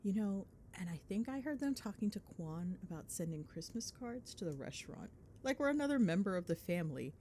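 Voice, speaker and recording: Playful, animated girlfriend acted by a woman. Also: fairly low pitch, a little hiss or hum. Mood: fond, chatty. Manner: playful, chatty, expressive, mildly sweary. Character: affectionate, teasing, excitable young girlfriend on a call. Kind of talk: ASMR girlfriend roleplay, phone-call monologue.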